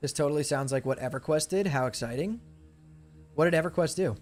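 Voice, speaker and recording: A man's voice: fairly high pitch, fairly quick, darkish tone, soft background music. A man in young adulthood, chatting casually with his live stream viewers as he loses his voice. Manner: laid-back, chatty, talks to chat. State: losing his voice.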